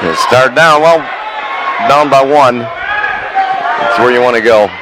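A man giving color commentary on live wrestling for radio in a conversational, halting, analytical way. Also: mid-range voice. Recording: noisy live sports venue, loud crowd. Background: loud crowd and venue noise.

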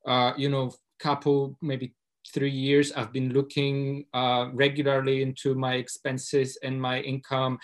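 A man's voice: medium pitch, completely flat delivery, measured pace. Man, hesitant questioner. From Poland. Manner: hesitant, many uhs and restarts. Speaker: audience member asking a question.

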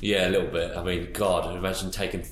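A man with a lowish voice co-hosts a podcast in a relaxed chat. He talks in a jokey, animated way. The room has a noticeable echo. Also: fast talker, soft background music. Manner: jokey, animated, laughs often. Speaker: podcast co-host. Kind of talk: casual chat.

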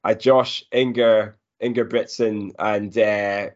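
A man's voice: lowish voice, level tone, takes it slowly, muffled recording. A man co-hosting a podcast in casual sports chat. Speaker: podcast co-host.

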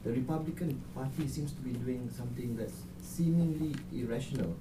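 A man's voice: medium pitch, fairly quick, background noise. A young man from Malaysia, a student, asking a question from the audience, hesitant with many restarts. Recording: distant audience mic in an echoey hall.

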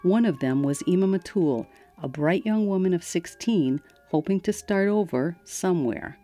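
A woman hosting a radio program, reading scripted narration in a measured, even way. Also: fairly low pitch, soft background music. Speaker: radio host.

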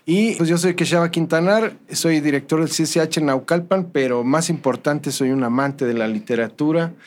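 A man's voice: on the high side, moderate pace. A man with a central Mexican accent, a school director giving a courteous public greeting. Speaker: school director, interview guest. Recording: clear background noise and ambience.

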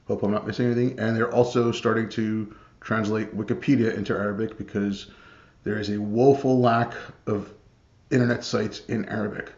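Male host, lowish voice, echoey room, dull lo-fi audio. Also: level tone, moderate pace, darkish tone. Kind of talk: interview introduction.

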